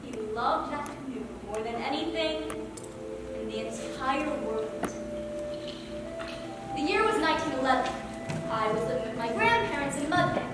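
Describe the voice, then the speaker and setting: Woman acting a high-voiced Native girl, far off in hall. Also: lively intonation, moderate pace, long pauses. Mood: wistful. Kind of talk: stage play monologue and dialogue. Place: theatre stage. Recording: echoey hall from far off, music behind.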